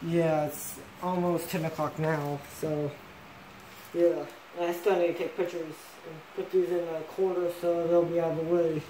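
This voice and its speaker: A man hosting a home video of toy battles, chatting casually and calling out the scores in short, relaxed bursts. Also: a little high, normal speed, slight background noise, rough recording. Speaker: beyblade battle host. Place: indoors, at a table with a stadium.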